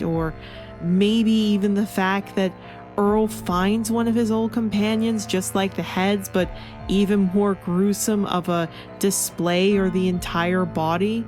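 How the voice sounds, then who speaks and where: A woman's voice: mid-range voice, a little mellow. Woman reviewer, emphatic, over music. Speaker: comic book reviewer and video host. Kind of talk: opinionated scripted review narration.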